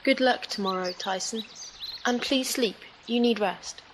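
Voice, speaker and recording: A woman's voice: a little high, measured pace, noisy background, natural voice. A woman playing a caring, earnest confidante in a science fiction audio drama.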